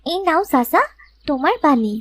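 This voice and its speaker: Woman as young orphan girl, cartoon, meek, earnest, pleading, high voice, very animated. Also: moderate pace, soft background music. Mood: apologetic. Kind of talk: animated moral tale voice acting.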